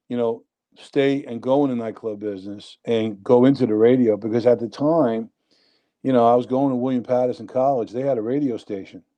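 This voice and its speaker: A man in his sixties with a thick New York accent spins long, winding stories as a guest on a casual chat show. His voice is notably dark and mellow, never bright, and he sits at an ordinary middle pitch. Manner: rambling, animated storyteller. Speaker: interview guest. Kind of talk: casual storytelling.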